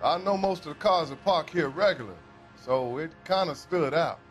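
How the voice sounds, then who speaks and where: A man's voice: fairly high pitch, moderate pace, soft background music, natural voice. A man playing a grudging, defensive working-class witness in a video game, evasive and colloquial in his talk. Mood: guarded. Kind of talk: scripted dramatic video game acting.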